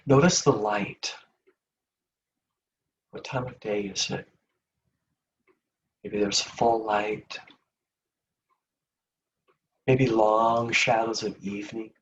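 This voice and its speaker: A man leading a guided meditation in a gentle, soothing, unhurried manner with long pauses between phrases. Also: lowish voice, steady tone, distant mic, muffled recording, a little hiss or hum.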